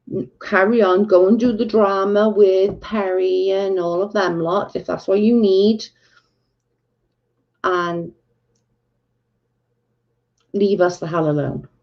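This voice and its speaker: Gravelly Welsh woman streaming live. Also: on the low side, lo-fi sound. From Wales. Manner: blunt and exasperated, talking to chat. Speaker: livestream host. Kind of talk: casual livestream chat.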